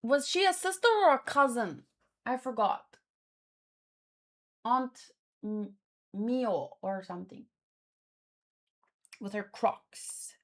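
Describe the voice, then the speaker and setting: A woman reading dialogue aloud in an informal, expressive way, mixed with casual commentary of her own. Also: fairly high pitch, takes it slowly, long pauses. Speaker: manga read-along host.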